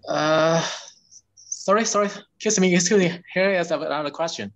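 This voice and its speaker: A man's voice: a little high, normal speed, a bit bright. A man with a Taiwanese accent, asking hesitant, apologetic questions with many fillers during an online webinar. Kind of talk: halting questions in a video call.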